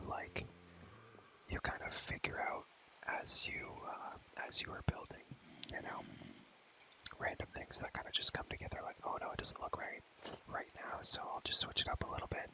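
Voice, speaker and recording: Young male ASMR creator for gaming commentary. Whispers throughout, rambles casually in slang, softly repeats himself. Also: very high voice, rough recording.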